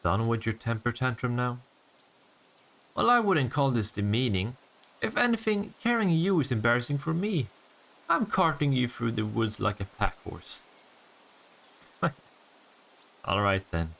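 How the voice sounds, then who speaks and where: A man's voice: medium pitch, sing-song, a little slow, gravelly, long pauses, a bit bright, exaggerated voice. A man voicing a cocky, flirtatious vampire in a scripted roleplay audio drama, full of sardonic, teasing banter. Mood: mocking, flirtatious.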